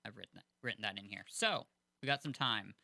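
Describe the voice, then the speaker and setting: A man's voice: lowish voice, a little fast, vocal fry, bright, forceful tone, no room sound, studio quality. A man co-hosting a podcast, chatting casually and rambling with lots of fillers. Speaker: podcast co-host.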